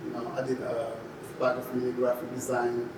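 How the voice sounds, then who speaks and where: A man in his forties with a Senegalese accent, giving a casual interview in a halting way, often saying "you know". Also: mid-range voice, monotone. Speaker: podcast guest, photographer and graphic designer. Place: indoor podcast studio. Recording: echoey room, distant mic, clear background noise.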